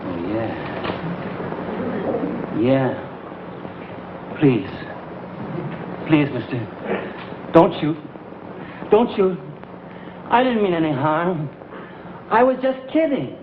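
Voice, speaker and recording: An actor playing a small-time bullying gangster with tough talk in a 1960s broadcast comedy sketch. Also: male voice, fairly high pitch, very animated, drawn-out words, long pauses, exaggerated voice. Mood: pleading. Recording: tinny echoey old broadcast, loud audience noise.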